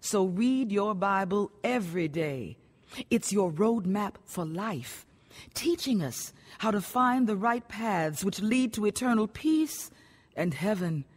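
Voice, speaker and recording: An authoritative woman who teaches the Bible with solemn devotion. Her medium-pitched voice is instructive, in radio drama style, and carries a long reverb. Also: lively intonation, takes it slowly.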